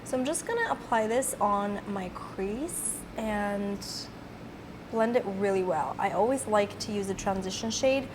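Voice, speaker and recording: A woman with a slightly raspy voice from a cold, chatting casually as she walks through her makeup routine step by step. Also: medium pitch, noisy background. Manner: chatty, filler-heavy, explaining each step. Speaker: beauty vlogger. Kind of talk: casual makeup tutorial narration.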